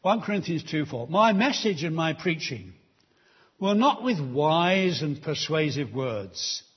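A man preaches slowly and emphatically, his fairly high voice rising and falling widely as he builds his appeals. The tone is a little husky. The recording is dull and lo-fi, as if taken in a church. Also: sing-song. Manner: slow, emphatic pulpit delivery with rising appeals. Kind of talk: sermon.

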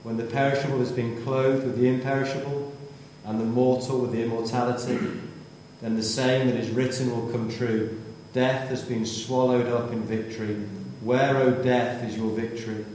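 Male scripture reader, measured and emphatic, distant in a big echoing church. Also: medium pitch, little pitch movement, a little mellow, a little hiss or hum. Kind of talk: scripture reading. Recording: distant mic in echoey church.

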